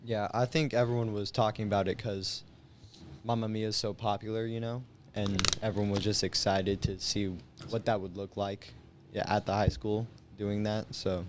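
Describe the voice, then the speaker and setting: A man's voice: lowish voice, flat delivery, moderate pace, slight background noise. A young man in his late teens chatting casually as a guest, explaining things in a loose, informal way. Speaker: high school senior guest. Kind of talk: casual chat.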